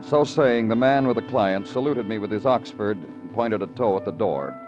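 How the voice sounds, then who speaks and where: A male actor plays a hard-boiled American detective with a low male voice. He is brooding and weary, speaking in clipped, poetic fragments in the manner of 1950s radio. The old recording is muffled, with prominent music. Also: normal speed, close to an everyday voice. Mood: wry. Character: weary, brooding male police detective narrating his case. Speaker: police detective and narrator. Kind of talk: 1950s radio crime drama acting, terse poetic narration.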